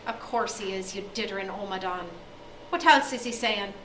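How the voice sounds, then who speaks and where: A woman's voice: medium pitch, normal speed, background noise, natural voice. A woman voicing a hot-tempered older male schoolmaster who bellows insults. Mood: gruff. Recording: echoey room from far off.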